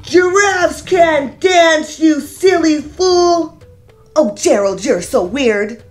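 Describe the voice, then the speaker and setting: Woman acting sneering storybook animal, mocking, loud, slow drawn-out jeers, children's read-aloud. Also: sits high, expressive, soft background music, exaggerated voice. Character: sneering jungle animals mocking a clumsy giraffe.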